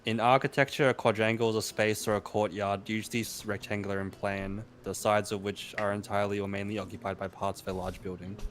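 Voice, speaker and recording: A man chatting casually during a group game session, halting and repeating himself as he explains things. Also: on the low side, flat delivery, a bit bright, slight background noise. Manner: halting, repetitive when explaining. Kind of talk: casual group gaming chat.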